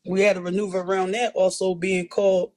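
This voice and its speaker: A woman in her thirties from West Philadelphia with a raspy voice, speaking bluntly and toughly about her hard past as a guest in a seated interview in a small indoor studio. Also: mid-range voice, little pitch movement, brisk, a little mellow. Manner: blunt, tough, street-worn storytelling. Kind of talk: candid interview talk.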